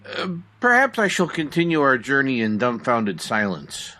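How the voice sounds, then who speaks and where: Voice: medium pitch, big pitch swings, normal speed, pushed and bright. An actor playing a nosy, wisecracking cab driver in an old-time radio comedy, comically affronted in delivery. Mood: incredulous. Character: nosy, wisecracking, determined cabbie sidekick.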